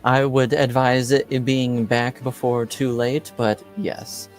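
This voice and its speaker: A man's voice: medium pitch, level tone, slow, soft background music. A man speaking casually as a game master, good-humoured and a little hesitant. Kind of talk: casual group chat.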